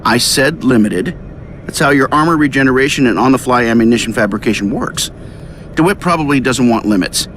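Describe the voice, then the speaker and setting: A man's voice: mid-range voice, lively intonation, a little fast, a bit bright, slight background noise, lightly acted. A man playing a cocky inventor hero in a video game, with a wry, clipped, commanding delivery. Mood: serious, explanatory. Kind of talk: video game cutscene acting.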